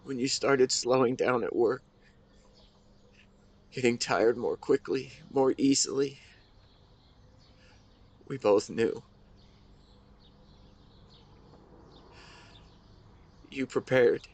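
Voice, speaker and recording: A man playing a grieving, tender husband in an intimate audio drama monologue, speaking haltingly and earnestly to his late wife. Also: medium pitch, slightly rough. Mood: sad, resolute. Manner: halting, earnest, emotional delivery with pauses. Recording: outdoor ambience with birdsong behind. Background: birdsong and nature ambience.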